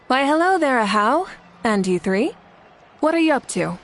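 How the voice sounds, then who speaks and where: An actress playing a confident adult woman ruler who speaks in a relaxed, teasing way. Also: high voice, slow, full character voice. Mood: amused.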